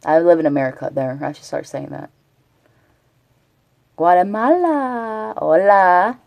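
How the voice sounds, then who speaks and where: A woman's voice: fairly low pitch, a little slow, gravelly, a little mellow, dry recording. A chatty woman from Texas, rambling casually as a live streamer and answering her chat. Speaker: Twitch streamer.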